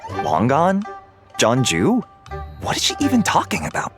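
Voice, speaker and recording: A man's voice: fairly high pitch, wildly swooping pitch, a little slow, music in the background, full character voice. A man voicing a baffled, dismissive restaurant customer in a video game.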